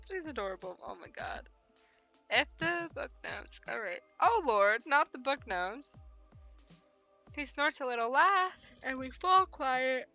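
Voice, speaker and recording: A woman with a bright voice streams a story game. She swings widely in pitch as she narrates and drops into giggly, theatrical commentary. Soft music plays behind her. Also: big pitch swings, takes it slowly, long pauses, no echo. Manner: giggly, teasing, theatrical reactions. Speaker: streamer reading a visual novel aloud. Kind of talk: livestream play-along, narration mixed with excited banter.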